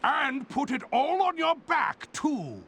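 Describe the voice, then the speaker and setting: A man's voice: very high-pitched, sing-song, slow, a bit bright, a little hiss or hum, heavily acted. A man voicing a haughty evil-genius villain in a cartoon video game, with dry theatrical delivery. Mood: astonished, grudging.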